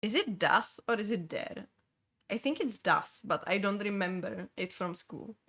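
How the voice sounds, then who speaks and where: A young woman from Czechia with a Czech accent, chatting casually as she streams a game, halting with many fillers and restarts. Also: mid-range voice, animated, gravelly, fairly bright tone, breathy edge. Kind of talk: casual gameplay chat.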